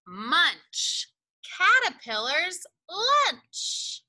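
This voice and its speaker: Bright, high-voiced woman reading to young children. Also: very animated, low-quality recording. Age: young adult. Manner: sing-song, deliberately enunciated, emphatic on rhyming words. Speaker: storyteller reading a picture book to children. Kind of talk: read-aloud for young children. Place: outdoors in a leafy backyard.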